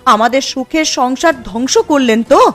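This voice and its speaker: Woman acting resolute village widow in a cartoon, highish earnest voice, faint music. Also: normal speed, fairly bright tone. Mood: bitter, accusing. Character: wronged, resolute village widow running an eatery. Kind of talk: cartoon moral story acting.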